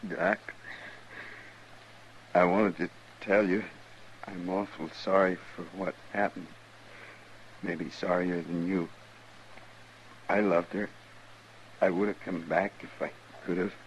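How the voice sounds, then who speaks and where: Weak, slow, deep-voiced American westerner. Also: male voice, fairly even intonation. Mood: weak, remorseful. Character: wounded, remorseful frontier man making amends. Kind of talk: 1950s radio drama acting. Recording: faintly noisy old radio broadcast.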